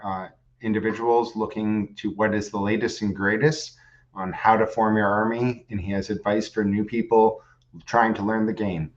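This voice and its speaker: A man's voice: on the low side, a bit bright, dull audio. Stammering Canadian-born man hosting a podcast. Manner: chatty, stumbling restarts, jokey. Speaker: podcast host. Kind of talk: casual podcast interview.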